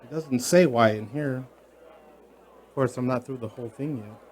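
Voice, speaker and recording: A man's voice: mid-range voice, normal speed, darkish tone, a faint crowd, lightly acted. An actor playing a matter-of-fact deputy giving his report in a radio drama. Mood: reporting, incredulous.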